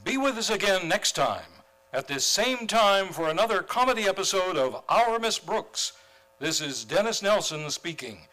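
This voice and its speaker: A man's voice: sits high, very animated, moderate pace, bright, forceful tone, poor audio. A man announcing in old-time radio style, reading scripted credits and sign-offs. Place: theater stage.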